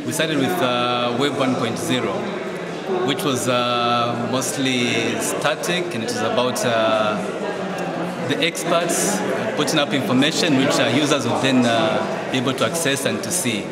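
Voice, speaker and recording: A man from Zambia, giving a measured, explanatory interview answer at a conference, pausing often on fillers. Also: mid-range voice. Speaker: network secretariat head. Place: conference venue hall. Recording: echoey hall with loud crowd.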